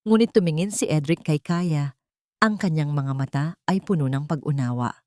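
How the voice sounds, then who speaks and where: A woman's voice: sits low, moderate pace, darkish tone, no echo, clean studio sound. A woman reading a dramatic romance story aloud as narrator. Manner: dramatic storytelling, reads all parts herself.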